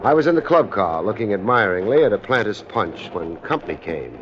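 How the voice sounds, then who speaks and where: A man acting a wry, unflappable private detective with deadpan wit in a 1950s radio drama. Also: lowish voice, a little fast, noisy background. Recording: dull old radio recording.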